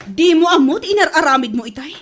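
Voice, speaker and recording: A woman plays a nagging, gossipy wife in an Ilocano radio comedy. Her voice is high and swings widely in pitch, with an Ilocano Filipino accent and an exasperated edge. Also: animated, fast talker. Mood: scolding.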